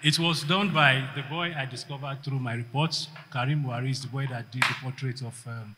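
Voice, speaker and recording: A man's voice: medium pitch, fairly even intonation, a little fast, background noise. A man with a Nigerian accent giving a short presentation speech in public. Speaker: presenter of a gift.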